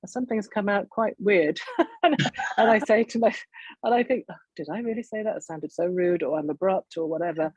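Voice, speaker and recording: A woman's voice: medium pitch, normal speed, husky, no echo. A Kenyan-born woman with an English accent, talking candidly and thoughtfully in a panel conversation over a video call, often laughing. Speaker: panel speaker. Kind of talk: reflective conversation.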